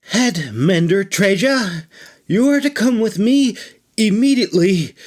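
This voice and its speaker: A man's voice: high-pitched, expressive, a little slow, darkish tone, voice pushed up. A man voicing a young woman dragon rider who speaks urgently, pleading or giving curt orders. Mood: commanding.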